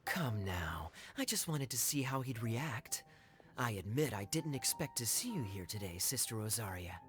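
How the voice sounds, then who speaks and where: A man playing a smooth-talking young knight in a game, suave and playfully teasing. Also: medium pitch, very animated, normal speed, a bit bright, soft background music. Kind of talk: game cutscene voice acting.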